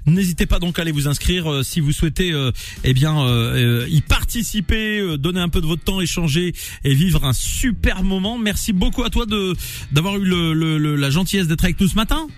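A man's voice: high-pitched, fairly quick, faint music behind. Jokey, hesitant man hosting morning radio. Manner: jokey, hesitant, many "euh"s. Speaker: radio morning show host. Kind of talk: casual radio interview.

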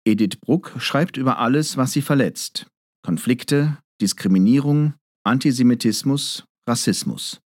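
Man, documentary narrator, neutral, mid-pitched, dead-dry studio sound. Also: expressive, a little slow, dry recording. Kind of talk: scripted narration.

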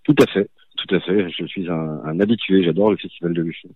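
A man with an extremely deep, slightly husky voice talks easily and unhurriedly, dotting his speech with fillers and repeated agreement. His voice comes through a tinny, dry phone line from a home. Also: a little fast, dry recording. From southern France, raised in Toulouse. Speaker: actor guest. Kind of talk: casual phone interview.

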